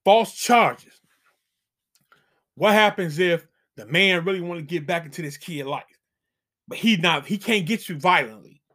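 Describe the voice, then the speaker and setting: A man's voice: high-pitched, unhurried, long pauses, a bit bright. A middle-aged man hosting a channel, giving casual dating advice as an emphatic, preachy warning full of slang.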